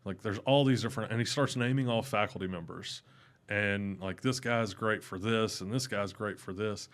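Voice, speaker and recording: A young adult man with a loud, carrying voice, telling stories casually and animatedly as a podcast guest. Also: normal speed. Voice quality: loud voice that carries. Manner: animated, rising in pitch when excited. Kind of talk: casual storytelling interview.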